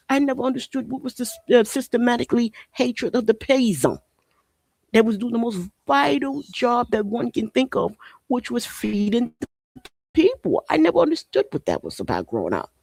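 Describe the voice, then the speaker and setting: An impassioned host from Haiti, somewhere in their forties, speaks with emphatic conviction, hammering home points through rhetorical questions and repetition. The voice sits at a middling pitch, and the sound is close and dry with almost no room echo. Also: big pitch swings, a little fast, gravelly, a little mellow. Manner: emphatic, rhetorical questions, repeats phrases for effect. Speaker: podcast host. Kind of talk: impassioned podcast monologue.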